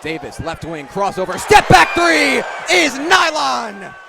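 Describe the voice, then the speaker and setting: A young man with a high voice calls live basketball for radio. His delivery is vivid and animated, ready to rise into a shout. A noisy arena crowd surrounds him. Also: a little slow, gravelly. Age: young adult. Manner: animated, vivid, raises voice to shouts on big plays. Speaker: radio play-by-play announcer. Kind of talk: live basketball play-by-play commentary. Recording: loud arena crowd and bouncing ball. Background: crowd noise, basketball bounces.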